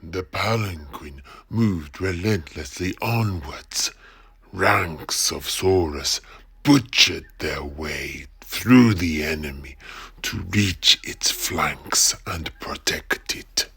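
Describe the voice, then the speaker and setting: A man acting as a grim adventurer telling a war tale in an audio play. His voice is low and badly rasping, with a crackle of fry, and he lingers on his words. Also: slow pace, bright, forceful tone, exaggerated voice. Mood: grave, dramatic. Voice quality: husky, gravelly. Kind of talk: dramatic audio play narration.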